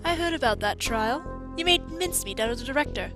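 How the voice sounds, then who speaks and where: Woman as a high, haughty, mocking scientist. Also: expressive, quick pace, over music. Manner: sardonic, taunting. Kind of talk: video game fandub acting.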